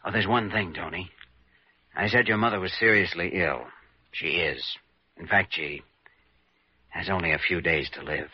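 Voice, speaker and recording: A dry, muffled vintage radio recording of a young doctor. He is calm and kindly, with a low, husky voice. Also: moderate pace, long pauses, no room sound. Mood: grave, persuasive. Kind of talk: old-time radio drama acting.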